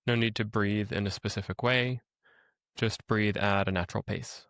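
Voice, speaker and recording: A man leading a guided meditation as a teacher, speaking in a calm, gentle, instructional way with pauses. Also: lowish voice, smooth, dry recording, clean studio sound.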